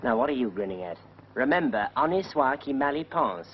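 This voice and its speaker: An actor playing an eager, flustered army captain who stammers on the phone and orders a waiter about, in a 1940s British film comedy. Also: male voice, mid-range voice, very animated, brisk, lightly acted. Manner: flustered, stammering then commanding. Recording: dull 1940s film soundtrack with faint hiss.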